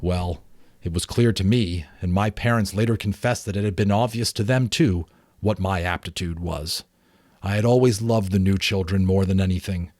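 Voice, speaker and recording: A man voicing a gentle, shy father who earnestly recalls his childhood in an audiobook. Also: low voice, moderate pace, dry recording, clean studio sound. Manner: earnest, reminiscing.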